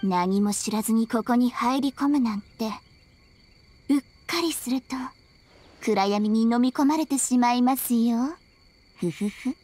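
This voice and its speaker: A woman's voice: on the high side, measured pace, a little mellow. A woman voicing a cryptic young shrine maiden in a video game, polite, mysterious and playfully ominous. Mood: ominous, teasing. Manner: polite, mysterious, theatrically foreboding. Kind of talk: Japanese video game voice acting.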